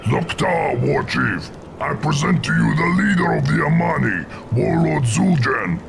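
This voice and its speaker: Fierce, gravelly orc lieutenant. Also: male voice, a little high, animated, heavily acted. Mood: proud reporting. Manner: brisk, formal military reporting. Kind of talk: video game cutscene acting. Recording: loud music underneath.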